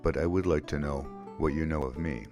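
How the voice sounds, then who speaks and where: A man's voice: very deep voice, steady tone, a little fast, music in the background. A man playing a proud, battle-hardened exiled warrior king in an audio play, with stately, formal speech. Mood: measured, challenging.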